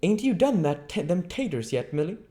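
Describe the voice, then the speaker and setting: Man putting on a high-voiced chatty country landlady. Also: very animated, a little fast, dark tone. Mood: exclaiming. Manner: rambling, rustic, folksy phrasing. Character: chatty, deferential country landlady, middle-aged woman.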